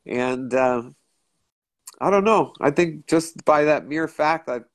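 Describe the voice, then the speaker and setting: A man's voice: on the high side, dry recording. Older man with a stuffy nose. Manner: rambling, halting, many restarts. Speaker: show host. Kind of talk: casual video call chat. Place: indoor room at home. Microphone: video call.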